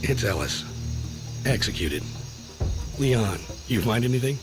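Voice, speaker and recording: An actor playing a terse, focused secret agent in a video game cutscene. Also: male voice, medium pitch, lively intonation, a little slow, close to an everyday voice. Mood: grim, matter-of-fact. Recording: loud music behind, vehicle sounds.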